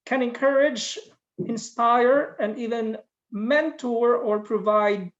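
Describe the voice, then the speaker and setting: A woman's voice: a little high, lo-fi sound. A woman in middle age hosting a casual interview on a video call, chatty and friendly. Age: 40s or older. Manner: friendly, chatty, laughs often. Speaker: podcast host. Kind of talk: casual interview, mixing Tagalog and English.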